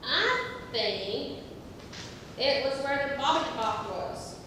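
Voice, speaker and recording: Actress as slow, high-voiced woman in echoey hall. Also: very animated, exaggerated voice. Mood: playful. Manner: halting, slow, rambling explanations. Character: giggly woman slowly telling a rambling joke. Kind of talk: amateur stage comedy acting. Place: theatre stage, picked up from afar. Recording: distant, echoey theatre hall, faint noise.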